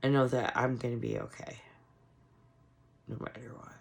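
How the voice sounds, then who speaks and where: A woman giving casual, frustrated political commentary on her vlog, hoarse from losing her voice. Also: very deep voice, flat delivery, normal speed, darkish tone, slight background noise. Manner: frustrated, ranting. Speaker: commentary vlogger.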